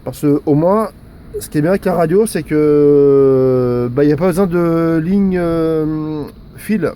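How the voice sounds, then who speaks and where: A man's voice: fairly high pitch, unhurried, warm tone, smooth. A man giving casual outdoor commentary as a radio operator, hesitant and conversational, often repeating himself. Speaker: amateur radio operator and civil security volunteer. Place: outdoors in a storm. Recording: windy outdoor noise. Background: faint wind noise.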